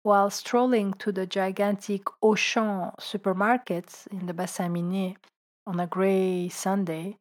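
A woman, a writer and translator, reading her own reflective essay in a calm, thoughtful way, sometimes dropping to a soft whisper. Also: medium pitch, unhurried. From Belgium. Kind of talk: reflective personal essay narration.

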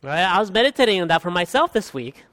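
A man in his thirties from Guatemala, preaching an expository sermon as pastor, earnest, swinging from emphatic peaks to quiet asides. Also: sits high, sing-song, fast talker. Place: church hall. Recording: long hall reverb.

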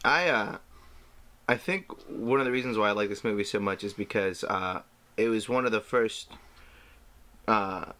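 A man co-hosting a podcast in casual chat, informal and halting as he repeats himself to make a point. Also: lowish voice, pushed and bright. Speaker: podcast co-host.

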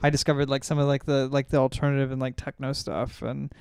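A man's voice: mid-range voice, flat delivery, fast, creaky, a little mellow, a little hiss or hum. A young man hosting a podcast, chatting casually and rambling through stories with lots of filler words. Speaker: podcast host. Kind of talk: casual pop-culture chat.

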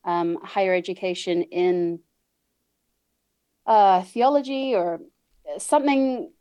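A woman's voice: medium pitch, slow pace, mellow, clear, resonant tone, no room sound. A woman in her thirties from New Zealand with a New Zealand accent, chatting as a podcast guest, often laughing and restarting her sentences. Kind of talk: casual interview chat. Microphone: video call.

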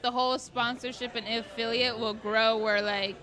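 A woman's voice: a little high, flat delivery, moderate pace, pushed and bright. A woman around thirty chatting casually on a podcast, rambling and using lots of "like" fillers. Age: 30s. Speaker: podcast co-host. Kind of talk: casual chat.

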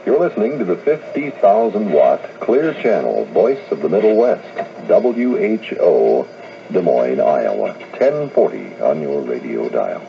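A man's voice: deep voice, sing-song, unhurried, creaky. A man announcing a station identification in 1970s AM radio style. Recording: muffled, faintly noisy AM radio.

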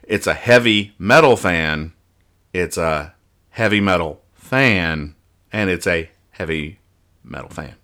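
Low-pitched, bright-voiced man explaining things earnestly. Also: takes it slowly. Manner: mock-earnest, over-explaining, stumbling repetitions. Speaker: podcast host. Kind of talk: casual comic-strip review banter.

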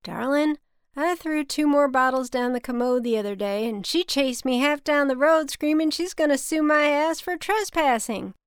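A woman's voice: high voice, moderate pace, no room sound, clean studio sound, pitched above the performer's own voice, natural voice. A woman voicing a folksy, exasperated but kind businessman with a Southern US drawl, in an audiobook story.

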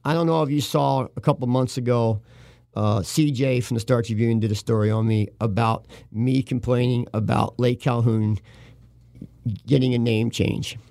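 A man's voice: medium pitch, steady tone, moderate pace, vocal fry, a little mellow, no room sound, clean studio sound. An older man hosting a casual talk show, opinionated and rambling, his voice worn from talking. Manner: rambling, opinionated, restarts often. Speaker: host.

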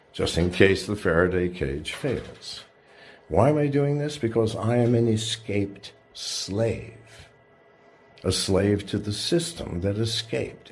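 A man's voice: low voice, darkish tone, slight background noise. A man giving a dramatic monologue in a preachy, intense way, his voice jumping up in sudden rises. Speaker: lone speaker addressing listeners from a shielded room.

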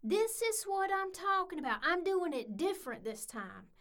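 A woman's voice: extremely high pitch, sing-song, moderate pace, a bit bright, full character voice. A woman playing a brash, defensive, working-class young woman in a comedic audio drama, slangy and blunt. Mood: irritated.

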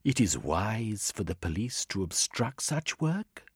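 A man voicing a proud, earnest woman in a read-aloud story, calm and pleading. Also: lowish voice, measured pace, slightly rough, no echo, clean studio sound, pitched above the performer's own voice, full character voice.

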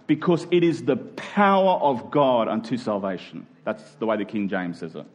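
A man preaching to a congregation in an emphatic but conversational way, stretching key words slowly and slipping into informal asides. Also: mid-range voice. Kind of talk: sermon to a congregation.

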